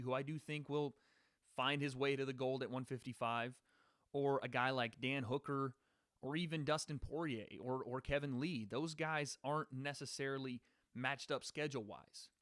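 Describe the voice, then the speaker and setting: A man's voice: medium pitch, normal speed, a bit bright, dry recording. Casual man talking sports on a dry mic. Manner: casual, rambling, talks to live chat. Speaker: podcast host. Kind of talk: live-stream sports predictions.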